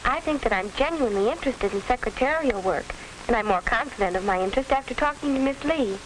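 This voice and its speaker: A woman acting as an earnest American teenage girl in a 1940s educational film. Her voice is fairly high and her phrasing is polite and carefully scripted. Faint crackle colours the old soundtrack. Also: animated, fast talker, lightly acted. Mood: earnest, unsure.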